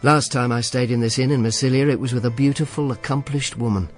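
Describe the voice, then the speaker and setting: An actor playing a world-weary, sardonic Roman informer in a radio drama, grumpy and dry-witted. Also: male voice, medium pitch, steady tone, fast talker, soft background music, lightly acted. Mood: wry, weary. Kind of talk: radio drama narration and dialogue.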